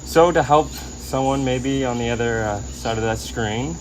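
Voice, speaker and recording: A man's voice: mid-range voice, measured pace. Guy interviewing casually, mid-range voice, agreeable, crickets and hum in the background. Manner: informal, agreeable, frequent short affirmations. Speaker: interview host.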